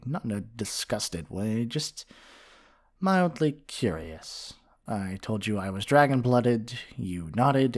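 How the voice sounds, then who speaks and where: A man playing a flirty, possessive half-dragon librarian in an intimate ASMR roleplay, speaking earnestly and affectionately with tender endearments. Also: medium pitch. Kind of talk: ASMR romantic roleplay monologue.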